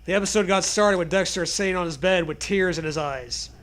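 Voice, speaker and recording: High, bright young man reading aloud comedically. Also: a little fast. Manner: jokey, irreverent, laughs often. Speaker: podcast host reading bad fan fiction. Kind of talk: comedic read-aloud with casual riffing.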